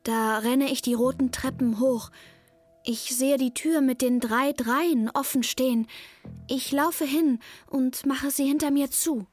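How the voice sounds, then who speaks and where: A woman's voice: high-pitched, fairly even intonation, unhurried, a little mellow, no echo, soft background music. A woman playing a young girl who narrates her lonely hotel stay in plain, short, childlike sentences, as in a radio drama. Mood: matter-of-fact, childlike. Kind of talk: first-person radio drama narration in present tense.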